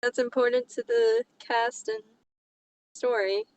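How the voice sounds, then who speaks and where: A woman from Texas co-hosts a casual story reading. Her voice sits fairly high and she takes her time over the lines. She is playful and sarcastic, often interrupting herself with chatty asides. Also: level tone, a little slow, darkish tone, no echo, low-quality recording. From Texas, United States. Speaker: co-host reading a story aloud.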